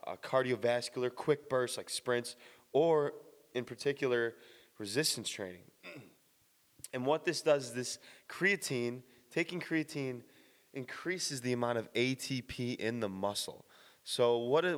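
A man talks in a loose, blunt explainer style, swearing casually and restarting his sentences. His voice is slightly raspy from the early morning and sits at a middle pitch. A long reverb trails after his words. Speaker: fitness vlogger.

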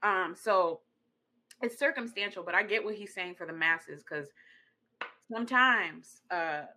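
A woman from Congo co-hosting a casual relationship discussion, colloquial and animated, often acting out imagined quotes. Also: lowish voice, unhurried, slight background noise. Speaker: podcast co-host.

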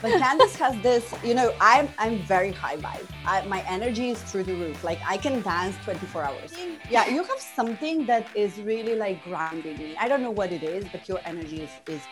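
A woman's voice: mid-range voice, animated, brisk, few pauses, music in the background. Bubbly woman. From Slovenia. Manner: bubbly, rambling, laughs often. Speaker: guest expert, life coach. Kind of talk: casual podcast chat.

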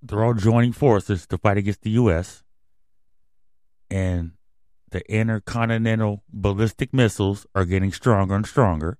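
A man's voice: on the low side, steady tone, normal speed, a little mellow, dry recording, studio quality. A man hosting his own podcast, reading out news stories in a loose, blunt, conversational way under a grand on-air persona. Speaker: podcast host. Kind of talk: casual podcast news talk.

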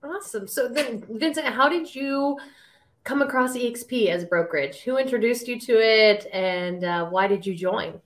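A woman's voice: fairly high pitch, normal speed. A woman hosts a podcast, interviewing in a casual, conversational style. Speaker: podcast host.

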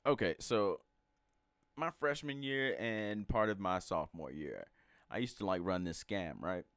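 A man's voice: low voice, expressive, measured pace, fairly bright tone, clean tone, no echo, studio quality. A man in his twenties from Alabama hosting a casual chat, rambling with lots of fillers and frequent laughter. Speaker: podcast host.

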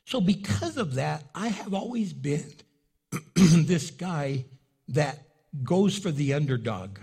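A husky-voiced male pastor preaching in a storytelling way. His first language was Spanish, and he leaps into loud, high-pitched bursts when he acts out a scene before settling back down. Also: big pitch swings, slow pace. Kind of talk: sermon.

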